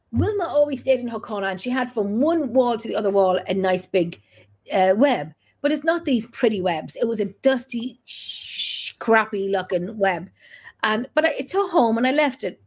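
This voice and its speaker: A woman's voice: a little high, animated, normal speed, darkish tone. An Irish woman hosting a chat show, talking loosely and laughing often. She drops in the odd mild swear word and tells stories with a rambling, friendly ease. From Ireland. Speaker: podcast host. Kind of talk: casual chat.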